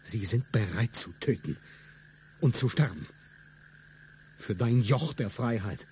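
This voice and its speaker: A man's voice: medium pitch, animated, measured pace, a little mellow, slight background noise, lightly acted. A man playing a fierce, declamatory revolutionary. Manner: solemn, declamatory delivery. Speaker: radio drama actor. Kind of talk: stage-like radio play acting.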